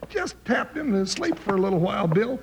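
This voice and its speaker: A very high, gravelly American cowboy sidekick in an old radio western. He is big, jovial and folksy, drawling over noticeable hiss and clicks. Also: very animated, moderate pace, exaggerated voice. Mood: gleeful, tough. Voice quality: creaky, high-pitched voice.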